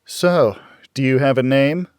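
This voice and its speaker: A man voicing a wary, defiant, streetwise teenage girl in audiobook dialogue, with hesitant, halting questions. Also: mid-range voice, a little mellow, clean tone, dry recording, clean studio sound, pitched above the performer's own voice, natural voice. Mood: guarded, curious.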